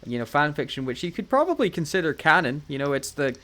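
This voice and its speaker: A man's voice: a little high, very animated, fast talker, a bit bright. A man co-hosting a podcast in casual banter, with wry, jokey asides. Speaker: podcast co-host.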